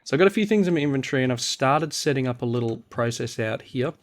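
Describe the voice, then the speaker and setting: A man who is unwell, husky and a little nasal, giving a casual video game walkthrough as host and thinking aloud as he goes. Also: medium pitch, animated, quick pace. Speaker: host of a gaming series.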